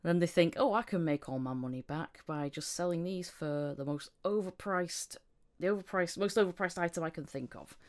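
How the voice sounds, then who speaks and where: A woman's voice: lowish voice, moderate pace. A woman hosting a casual podcast conversation, chatty and prone to repeating phrases for emphasis. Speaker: podcast host, voice actor.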